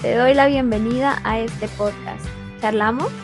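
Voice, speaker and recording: A young adult woman from Bogotá with a Colombian accent, hosting a friendly podcast for language learners in a calm, clear, unhurried storytelling way. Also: fairly high pitch, music in the background. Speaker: podcast host.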